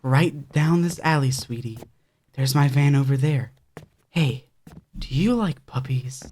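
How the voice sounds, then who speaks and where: A man's voice: mid-range voice, unhurried, a little mellow, slight background noise, close to an everyday voice. An actor playing a creepy, predatory man who poses as kindly in a horror audio drama. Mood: coaxing, syrupy.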